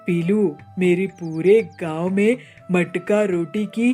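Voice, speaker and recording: A woman's voice: fairly low pitch, slow, warm tone, soft background music. A woman voicing an easygoing older male parrot who sells food in a cartoon story, speaking in a proud, kindly manner. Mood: proud, content.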